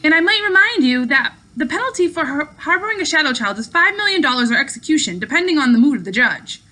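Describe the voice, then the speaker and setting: Storybook teen girl, performed by a woman: bold, sarcastic, casual, high and bright. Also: sing-song, fast talker, exaggerated voice, low-quality recording. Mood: exasperated, flippant. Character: bold, flippant young teen girl, mischievous hidden child. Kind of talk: storybook read-aloud dialogue.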